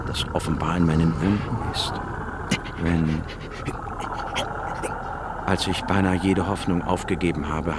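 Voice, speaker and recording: An actor playing a scientist who soberly narrates his own account in a radio drama. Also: sits low. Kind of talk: scripted first-person radio drama narration.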